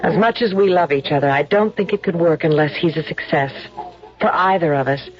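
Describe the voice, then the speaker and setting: A woman's voice: sits low, brisk, lightly acted. A woman playing an affectionate, teasing and earnest glamorous wife in a radio drama, full of playful endearments. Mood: tender, earnest. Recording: dull radio recording with music.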